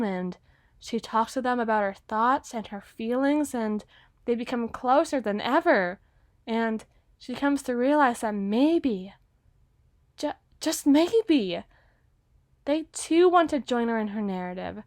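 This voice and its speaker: A woman's voice: fairly high pitch, dry recording, studio quality. A woman playing a gushing, obsessively lovestruck teenage girl writer in an ASMR roleplay monologue, flustered and rambling.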